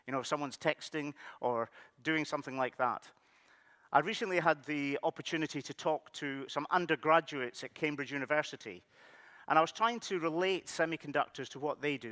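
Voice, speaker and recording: A middle-aged Scottish man delivers a keynote on a conference stage, loose and friendly, pausing often on fillers. His voice is bright and sits a little high for a man. The hall gives it a long, trailing reverb. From Scottish Highlands. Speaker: keynote speaker.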